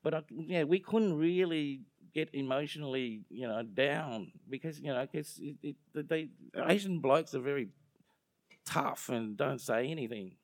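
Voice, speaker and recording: A man's voice: medium pitch, a little slow, dry recording. A young adult man with an Australian accent, being interviewed, talking casually and joking, drifting onto tangents. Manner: rambling, joking, self-deprecating, goes off on tangents.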